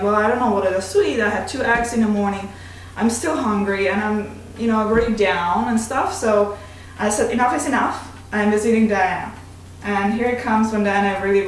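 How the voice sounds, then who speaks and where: A woman in her forties with a Czech accent, candidly telling her story in casual chat as a vlog host. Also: medium pitch. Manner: candid, slightly broken grammar. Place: home kitchen, indoors. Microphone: desk mic on tripod, camera at a distance. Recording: very echoey kitchen, distant mic, faint hiss.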